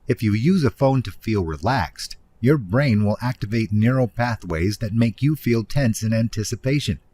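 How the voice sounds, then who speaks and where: A man's voice: fairly low pitch, animated, normal speed, dark tone. A man reading scripted nonfiction narration in an explanatory manner, speaking directly to the listener. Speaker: audiobook narrator, author reading own book.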